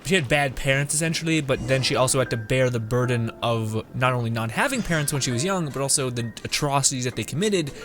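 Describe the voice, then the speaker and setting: Casual male game streamer, medium pitch. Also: lively intonation, fast talker, few pauses, a bit bright, faint music behind. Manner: informal, wry, rambling asides. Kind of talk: casual live game commentary.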